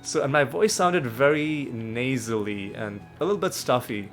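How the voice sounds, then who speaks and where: Guy narrating his let's play, bit nasal from a cold, keeps correcting himself, soft music underneath. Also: medium pitch, animated, normal speed. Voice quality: slightly nasal. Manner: rambling, self-correcting explainer. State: recovering from a cold. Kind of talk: casual gameplay commentary. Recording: faint background music.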